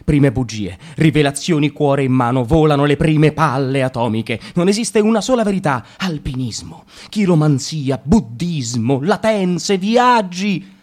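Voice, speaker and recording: A man reading a comic monologue for radio theatre in a wry, sardonic way, with sudden jumps in pitch. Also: animated, unhurried, a little mellow. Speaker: radio drama reader.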